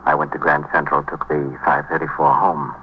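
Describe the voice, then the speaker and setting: A middle-aged American suspect in an old radio crime drama. His voice is extremely deep, and he answers in a polite, halting, evasive way. The recording is muffled with a faint noise. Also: level tone. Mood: guarded, evasive. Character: shaken, evasive middle-aged married businessman under questioning. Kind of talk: 1950s radio acting.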